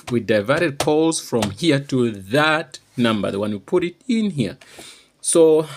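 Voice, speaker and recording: A man giving an informal, unscripted how-to demonstration, halting and repeating himself as he explains each step. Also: medium pitch, slight background noise. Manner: halting and repetitive, often checking understanding. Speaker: tutorial presenter.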